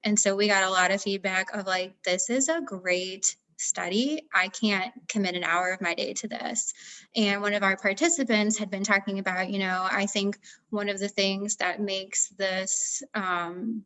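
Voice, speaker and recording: A woman's voice: mid-range voice, a bit bright, rough recording. A woman researcher answering questions in a casual, conversational way on a panel, often dropping "like" into her sentences. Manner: conversational, peppers speech with "like".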